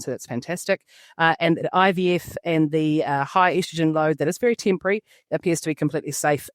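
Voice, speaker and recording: A woman's voice: low voice, no echo. Cheerful middle-aged woman chatting about medicine, dry close sound. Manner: upbeat, laughs easily. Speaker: oncologist co-host. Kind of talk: casual chat.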